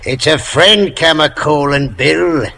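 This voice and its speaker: A sinister blind pirate with a heavily creaking, raspy voice. He is sharp and threatening, drawing out his words in old radio drama style. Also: fairly high pitch, slow, full character voice. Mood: sinister glee. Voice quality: raspy, gravelly. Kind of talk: 1950s radio drama acting.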